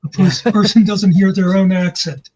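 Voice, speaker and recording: A man with a high, husky voice makes sarcastic remarks in casual stream chat. The audio is muffled and lo-fi. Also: steady tone, moderate pace, mellow.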